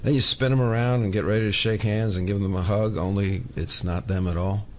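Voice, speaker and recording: A man's voice: fairly low pitch, fairly even intonation, a little fast, lightly acted. An actor in an audio drama playing a wry, inquisitive, stubborn male federal agent with a casual, understated delivery. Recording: noisy background with clicks.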